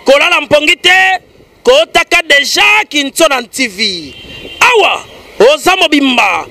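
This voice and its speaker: A man delivering a channel jingle in a shouted, sing-song promo style. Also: extremely high pitch, expressive, measured pace, slight background noise.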